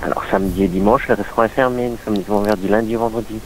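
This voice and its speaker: A man's voice: fairly low pitch, steady tone, racing. A man answering a restaurant phone in a polite, firm, procedural customer service manner. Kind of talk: formal telephone customer service. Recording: noisy recorded phone call.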